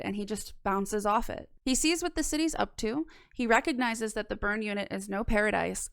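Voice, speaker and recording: Casting: young woman, video essayist, smooth but creaky voice, scripted delivery. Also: a little high, dry recording, studio quality. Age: young adult. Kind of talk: scripted analysis narration. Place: small indoor room. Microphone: close microphone on boom arm.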